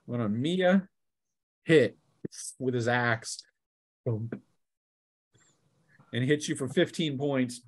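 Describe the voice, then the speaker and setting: A man's voice: medium pitch, lively intonation, takes it slowly, long pauses, dry recording. A man acting as game master, narrating tabletop combat casually and teasing his players.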